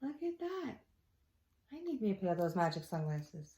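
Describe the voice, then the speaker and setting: A woman teacher reading a storybook aloud to children, expressively, with quiet asides. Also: medium pitch, sing-song, moderate pace. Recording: echoey room.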